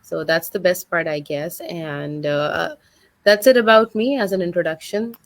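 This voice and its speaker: A woman's voice: lowish voice, unhurried, no room sound. A woman from Pakistan in her thirties, a guest on a video call interview, telling her story in a friendly, fluent way with many filler uhs. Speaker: guest, digital business mentor. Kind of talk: online interview, personal story.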